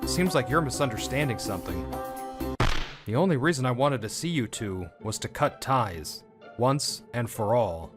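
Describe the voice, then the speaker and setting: A mid-pitched young man's voice from an actor tells his own story as a comic dub lead. He sounds wounded and bitter, in scripted narration with music clearly playing underneath. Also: expressive, moderate pace, natural voice. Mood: cold, bitter.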